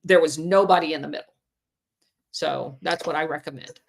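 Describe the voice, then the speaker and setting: A woman's voice: deep voice, moderate pace, vocal fry, slight background noise. Plain-spoken woman hosting a podcast. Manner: plain-spoken, with frequent ums. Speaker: podcast host. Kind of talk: casual advice on a call-in podcast.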